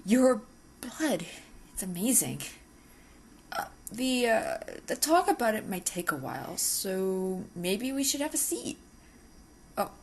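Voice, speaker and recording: Woman acting as obsessive vampire lady, swooping pitch. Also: vocal fry. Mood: polite, eager. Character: obsessive, possessive female vampire lab technician, outwardly polite. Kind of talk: ASMR roleplay acting. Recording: faint background noise.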